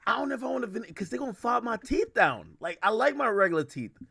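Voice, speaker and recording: A man's voice: very high voice, sing-song, fast, gravelly, pushed and bright, slightly rough, no echo. A man from Jamaica hosting a livestream, chatting casually in hip-hop slang, rambling and often stammering as he circles back over his words. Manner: rambling, repetitive, frequently stammering mid-thought. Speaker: livestream host interviewing guests by call.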